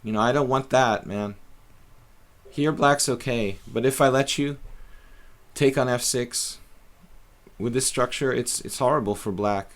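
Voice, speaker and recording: A man's voice: mid-range voice, unhurried, long pauses. A middle-aged man casually commentating his own chess games on a live stream, thinking aloud with many fillers.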